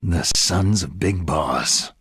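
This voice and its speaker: A man playing a gruff, skeptical veteran soldier in a video game, terse and questioning, with a gravelly rasp. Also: deep voice, slow pace, fairly bright tone, dry recording, heavily acted. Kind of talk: video game cutscene acting.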